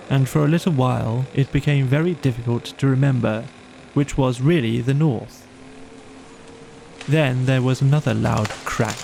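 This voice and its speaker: Slow, mellow male storybook narrator. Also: mid-range voice, vocal fry, a little hiss or hum. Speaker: audiobook narrator. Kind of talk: scripted bedtime story narration.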